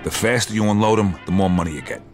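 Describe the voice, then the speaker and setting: A man playing a hard-nosed American mobster from a crime game. His voice sits low, and he talks business in terse, blunt lines. Soft music runs underneath. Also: fast talker, natural voice. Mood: irritated. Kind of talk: video game cutscene acting.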